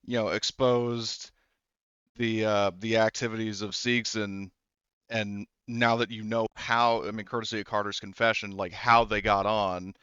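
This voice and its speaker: A man runs a tabletop roleplaying session as game master. His voice is clear and resonant, and he explains things conversationally. The sound is close and dry, with a slightly muffled top end. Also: on the low side, flat delivery, measured pace, sharp tone, dry recording.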